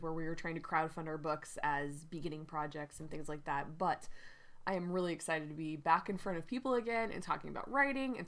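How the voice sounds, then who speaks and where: A woman hosting a casual writing livestream, hoarse and stuffy-nosed from a cold, talking in a chatty, rambling way with many "like"s and "um"s. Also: lowish voice, a bit bright. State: stuffy, runny nose. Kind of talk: casual live-stream talk.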